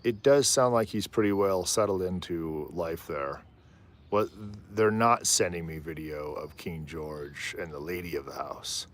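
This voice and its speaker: A man with a low voice hosting a live stream outdoors on a rooftop, chatting in a rambling, easygoing way. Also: unhurried. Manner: rambling, easygoing, often trails off and laughs. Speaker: livestream host. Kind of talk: casual live commentary.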